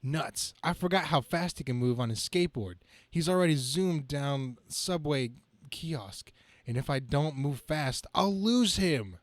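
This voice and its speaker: A man's voice: on the high side, expressive, measured pace, no room sound. A man voicing a young, harried, wisecracking superhero in a melodramatic, slightly stumbling comic-book reading. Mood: exasperated.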